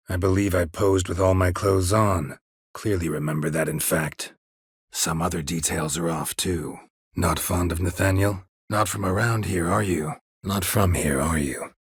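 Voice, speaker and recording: A man playing a gruff, stoic, sardonic monster-hunting mercenary in a video game, terse and deadpan with a gravelly voice. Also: low voice, no room sound, clean studio sound. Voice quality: gravelly, husky. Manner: terse, deadpan, clipped sentences. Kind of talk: video game dialogue acting.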